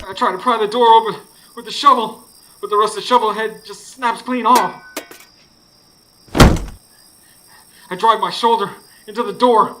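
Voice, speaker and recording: Actor as urgent high-pitched folksy man, horror radio play. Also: lightly acted. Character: anxious, determined Southern husband narrating a ghost hunt. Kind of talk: live radio drama acting and first-person narration. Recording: live stage recording, clear background noise.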